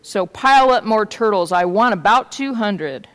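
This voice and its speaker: A woman's voice: mid-range voice, expressive, normal speed, voice pushed up, lightly acted. A woman voicing a pompous, boastful male turtle king in a storybook, imperious and bellowing. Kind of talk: storybook read-aloud. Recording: hall-like reverb.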